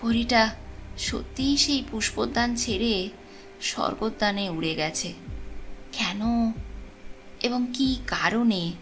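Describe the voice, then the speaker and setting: A woman narrating a supernatural tale as a storyteller, with expressive, deliberate phrasing. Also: on the high side, low-quality recording. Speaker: storyteller, channel host. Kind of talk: dramatic audiobook narration of a ghost story. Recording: clear background noise and ambience.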